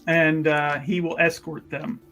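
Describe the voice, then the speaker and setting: A man with a slightly high voice narrates his character's actions as a tabletop player. His delivery is casual, improvised and rambling, with lots of repetition. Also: little pitch movement, a little slow, a bit bright, soft background music.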